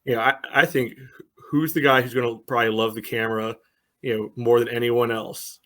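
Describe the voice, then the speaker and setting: A man's voice: mid-range voice, little pitch movement, fairly quick, fairly bright tone. Male sports podcast co-host, conversational analysis, filler-heavy speech, dry sarcastic streak. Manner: says "you know" constantly as filler. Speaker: podcast co-host and soccer fan pundit.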